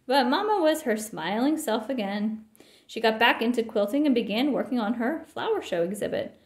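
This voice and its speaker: A woman's voice: a little high, lively intonation, moderate pace, echoey room. A woman reading a children's picture book aloud with expression. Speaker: storybook reader.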